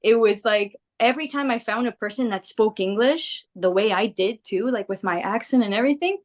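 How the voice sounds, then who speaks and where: Lively Greek-born woman chatting. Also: on the high side, brisk. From Greece. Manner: animated, laughs often, quotes people in put-on voices. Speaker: musician guest. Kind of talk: casual interview chat.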